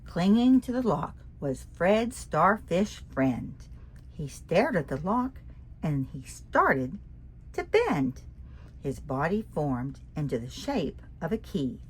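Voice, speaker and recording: Lady reading a kids' book out loud, slow and breathy, voice swoops all over. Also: fairly low pitch. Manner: expressive, sing-song, asks the listener. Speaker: children's book reader. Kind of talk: picture book read-aloud.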